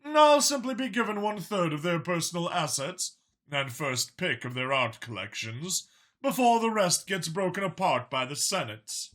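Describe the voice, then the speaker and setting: Man voicing a calculating dragon spymaster, lively and bright. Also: high-pitched, moderate pace. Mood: grave, explanatory. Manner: articulate, formal, matter-of-fact about killing. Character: calculating, mild-mannered male dragon spymaster, ruthless in war. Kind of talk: audiobook character dialogue.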